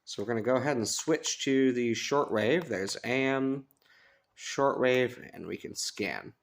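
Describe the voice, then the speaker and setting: A man's voice: mid-range voice, unhurried, gravelly. A man giving a casual, chatty hands-on product review, rambling and self-deprecating as he goes. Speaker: radio reviewer. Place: sunroom at a house.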